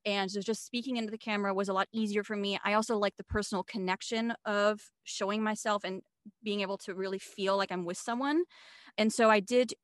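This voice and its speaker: A woman's voice: medium pitch, steady tone, fairly quick, no echo, studio quality. A woman in her thirties, from Switzerland and not a native English speaker, chatting as a podcast guest and telling her story with many fillers. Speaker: guest, video marketing coach. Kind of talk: casual interview chat.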